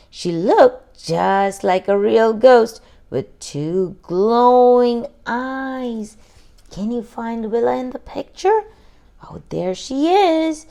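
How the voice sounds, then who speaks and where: A woman reading a children's storybook aloud expressively, pausing to put questions to young listeners. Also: on the high side, vocal fry, dark tone. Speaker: children's book reader.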